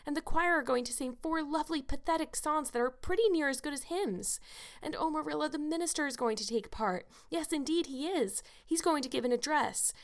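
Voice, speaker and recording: A woman's voice: high voice, fairly quick, pitched above the performer's own voice. A woman voicing an eager, chattering young orphan girl who pleads breathlessly. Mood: pleading.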